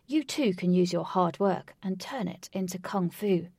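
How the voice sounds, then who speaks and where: A woman's voice: fairly low pitch, normal speed, mellow, slightly rough, dry recording. A woman voicing an earnest male martial-arts leader in a storybook, speaking firmly and instructively. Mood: rallying. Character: steady, earnest male martial-arts hero leading his friends.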